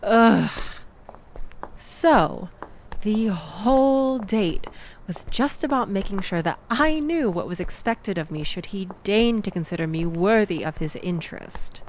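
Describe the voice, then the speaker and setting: A woman playing a sarcastic young woman venting about her evening in an intimate, conversational audio roleplay, with expressive, wry delivery. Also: mid-range voice, a little slow, a little hiss or hum, low-quality recording. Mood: sarcastic, indignant. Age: young adult. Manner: wry, sarcastic, animated storytelling with sighs and laughs.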